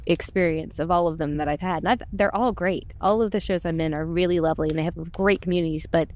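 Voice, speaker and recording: A woman's voice: on the low side, fast, darkish tone. A woman chatting casually and informally about a podcast project, in a gushing, talkative way. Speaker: podcast cast member and volunteer. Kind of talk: casual chat.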